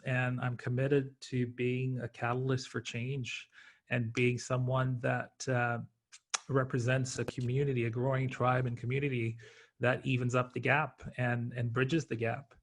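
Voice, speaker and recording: A man introduces himself on a panel in a mid-pitched voice with a gravelly creak. He is reflective and earnest, measuring out his phrases with hesitant pauses, like a practiced storyteller thinking aloud. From born in Tanzania, later Canada and Australia.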